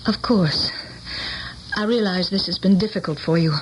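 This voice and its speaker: A woman's voice: mid-range voice, moderate pace, natural voice. An actress playing an earnest, insistent idealistic woman in a radio drama, speaking articulate American English with dramatic delivery. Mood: urgent, pleading. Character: earnest, intense idealistic woman, a former student. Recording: noisy old radio broadcast.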